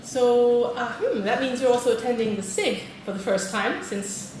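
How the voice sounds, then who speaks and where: A woman's voice: on the high side, lively intonation, moderate pace, darkish tone. A woman from Britain, a teacher trainer giving a friendly conference talk and polling the audience. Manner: friendly, polls the audience, frequent "okay". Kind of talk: conference presentation. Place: large conference hall. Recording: echoey hall, distant mic, noisy.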